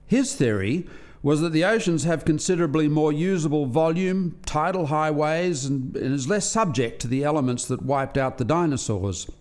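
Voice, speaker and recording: A man's voice: fairly high pitch, lively intonation, normal speed, darkish tone, lightly acted. A man playing an earnest alien scientist who explains experiments to colleagues in formal, articulate language in a radio play. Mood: earnest, storytelling.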